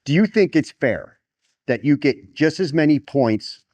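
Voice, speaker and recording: A man's voice: on the high side, expressive, measured pace, a little mellow, dry recording. A middle-aged man hosting a casual sports interview, chatting and putting questions to his guest. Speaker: podcast host interviewer.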